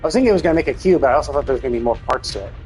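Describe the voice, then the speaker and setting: A man's voice: mid-range voice, expressive, very fast, darkish tone, rough recording. A man streaming a game, chatting casually and rambling as he thinks aloud. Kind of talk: casual live gameplay commentary. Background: game music in background.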